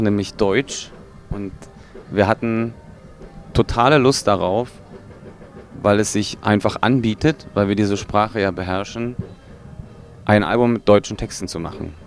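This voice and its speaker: A man from a metal band giving a documentary interview, explaining things in a thoughtful, measured way. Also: on the low side. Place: recording studio.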